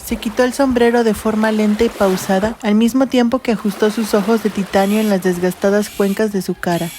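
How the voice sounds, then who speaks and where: A woman's voice: mid-range voice, warm tone. Woman narrating a science fiction audio story. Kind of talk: scripted literary narration.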